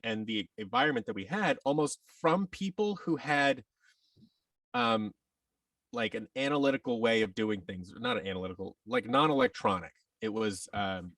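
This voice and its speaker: Guy in his 30s on a podcast, rambly n opinionated, gravelly voice, bit far from the mic. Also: medium pitch, fairly bright tone, no echo. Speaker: podcast guest, audio drama producer and actor. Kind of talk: casual interview conversation.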